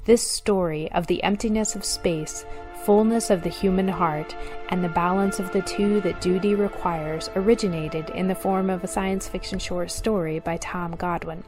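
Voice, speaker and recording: A woman reading a scripted introduction as the host of a radio drama show. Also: lowish voice. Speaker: host and director. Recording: music under the voice.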